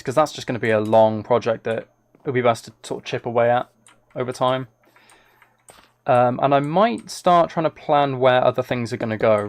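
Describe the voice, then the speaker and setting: A man chatting casually on a gaming livestream, rambling and thinking aloud as he plays. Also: mid-range voice. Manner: rambling, musing to himself while playing. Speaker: live streamer.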